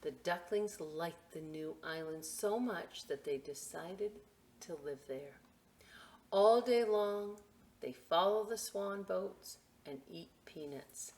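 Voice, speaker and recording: A woman hosting a children's story time and craft demonstration, chatting to young listeners in a playful, expressive, informal way with asides. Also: fairly low pitch, slow. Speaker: library story time host reading picture books and showing a craft.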